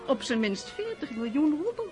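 Animated, high-voiced society hostess. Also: female voice, normal speed, music in the background. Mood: excited gossip. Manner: effusive, mannered, sprinkles French endearments. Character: gushing Russian society hostess, a gossiping aristocratic matchmaker. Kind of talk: radio play acting.

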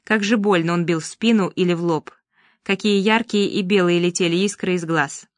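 Woman audiobook narrator, measured ironic storytelling, dry studio sound. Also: lowish voice, steady tone, no room sound. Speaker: audiobook reader. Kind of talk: scripted narration of a first-person story.

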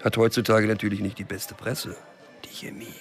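Wary small-time drug dealer, dry and cynical, bit low, creaky, crime radio drama. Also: male voice, wildly swooping pitch, normal speed. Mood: guarded, dry.

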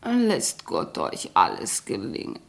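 A woman's voice: very deep voice, wildly swooping pitch, slow, vocal fry, heavily acted. An actress playing a suffering, pious she-wolf in a classic radio play, sighing in pain and barely able to speak. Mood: pained, resigned.

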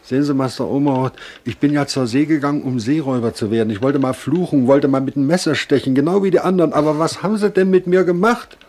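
An actor playing a sly, folksy servant sailor who longs to be a pirate, emphatic and conspiratorial, in a 1970s radio drama. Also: male voice, fairly high pitch, fast, hardly any pauses, darkish tone, lightly acted. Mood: aggrieved.

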